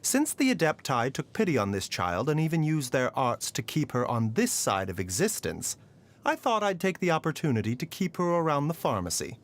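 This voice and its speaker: An actor playing a polite, gently wry and weary pharmacist in a video game, with measured, mildly teasing phrasing. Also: male voice, a little high, huge pitch swings. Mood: calm, thoughtful. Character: soft-spoken, courteous male pharmacist, gently wry and weary. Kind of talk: video game character voicelines. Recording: voice over background music.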